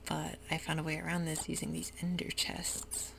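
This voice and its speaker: Gravelly whispering woman, noisy room. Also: deep voice, monotone, moderate pace. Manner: soft whisper, rambling and easygoing. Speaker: YouTuber playing a game. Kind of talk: whispered ASMR gameplay commentary. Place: home room with sprinklers outside.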